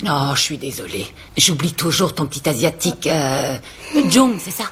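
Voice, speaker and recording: A woman's voice: deep voice, expressive, normal speed. An actress voicing a fussy, doting grandmother who gushes over the children and forgets things. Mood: flustered. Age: older. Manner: gushing, forgetful. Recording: loud noise behind voice.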